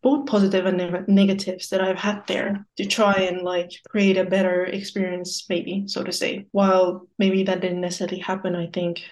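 Low, flat-voiced young woman, thoughtful. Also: moderate pace, hardly any pauses, low-quality recording. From Luxembourg. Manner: thoughtful, hedging, searching for words. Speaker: guest. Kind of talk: casual podcast interview.

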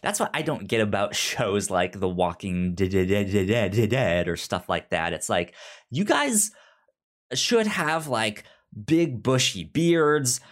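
A man's voice: on the low side, sing-song, slow. A man co-hosting a podcast in casual pop-culture chat, rambling and repeating words and phrases as he goes. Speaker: podcast co-host.

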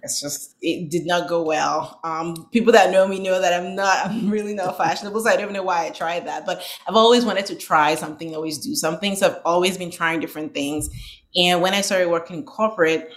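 A woman's voice: fairly low pitch, fairly even intonation, a little fast. A woman in her thirties from Nigeria, telling her story as an interview guest in an earnest, reflective way with frequent ums and restarts. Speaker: guest, franchise owner.

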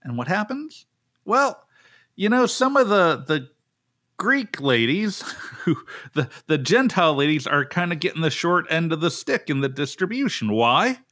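A man, a pastor from the United States, hosting a casual Bible study podcast in an animated way, often restarting his sentences and leaping up in pitch to make a point. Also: unhurried. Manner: animated, jumps up in pitch for emphasis, frequent restarts.

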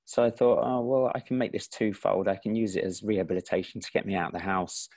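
A middle-aged man with a light Estuary English accent speaks in a lowish voice. He tells his story in a relaxed, casual way and often restarts his sentences. The sound is dry and close. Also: quick pace, no echo. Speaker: guest, teacher and indie filmmaker. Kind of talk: casual interview.

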